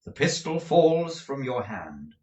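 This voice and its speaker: A man's voice: mid-range voice, very animated, takes it slowly, darkish tone, voice pushed up. A man voicing a polite but harried young male dramatist. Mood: explaining. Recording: roomy echo.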